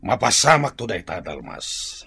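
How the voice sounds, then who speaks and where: A gloating warmonger chief for radio drama. The voice is deep and rough with gravel, and it swings theatrically as he threatens. It is recorded close and dry. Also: big pitch swings, normal speed, dry recording, faint music behind, heavily acted. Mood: commanding, gloating. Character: menacing male chief of a rival tribe, gloating warmonger.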